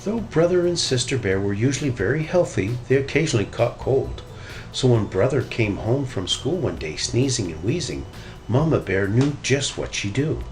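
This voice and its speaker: A man narrating a children's story in an expressive read-aloud style. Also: medium pitch, normal speed, faint music behind, low-quality recording. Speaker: storybook reader.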